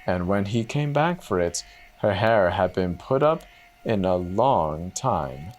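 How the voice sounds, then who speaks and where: A man's voice: low voice, lively intonation, slow, darkish tone. A man reading a fairy tale aloud as a storyteller in a dramatic style.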